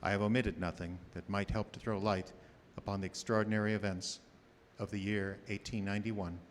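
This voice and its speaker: Man as a sober low-voiced Victorian gentleman. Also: little pitch movement, long reverb tail, a little hiss or hum, close to an everyday voice. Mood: solemn, restrained. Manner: measured, restrained, formal. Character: sober, formal Victorian gentleman presenting evidence. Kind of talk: radio drama narration.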